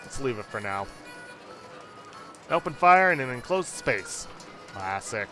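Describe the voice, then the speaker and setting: Bright-voiced man doing game playthrough commentary. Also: medium pitch, big pitch swings, unhurried, faint music behind. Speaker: let's play host. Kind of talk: video game playthrough commentary and read-aloud text.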